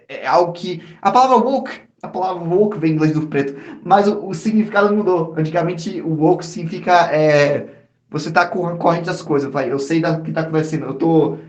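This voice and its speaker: Brazilian guy chatting on a podcast, lively and slangy, lots of restarts, kinda high voice, echoey room. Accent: Brazilian Portuguese. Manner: animated, slangy, many restarts. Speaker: podcast co-host. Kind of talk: casual chat.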